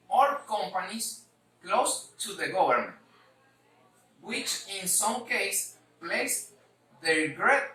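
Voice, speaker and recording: Slow, halting woman reading in echoey room. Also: mid-range voice, bright, forceful tone. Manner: halting, repeats words, choppy phrasing. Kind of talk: scripted history presentation read aloud. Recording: echoey room, distant mic.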